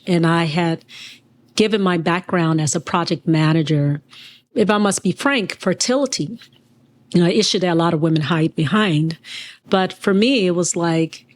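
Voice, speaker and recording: Woman, forties, from Liberia, interview guest, lowish pitch, earnest, measured, reflective. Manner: earnest, measured, pauses mid-sentence. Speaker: guest, business owner.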